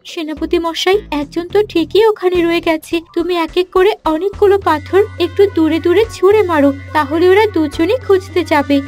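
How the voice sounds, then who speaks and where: Cartoon heroine, played by a woman: young, high-pitched, earnest, emotional, light music bed. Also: moderate pace, hardly any pauses, darkish tone. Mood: urgent. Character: earnest young heroine devoted to her brother. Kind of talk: fairy-tale cartoon dubbing.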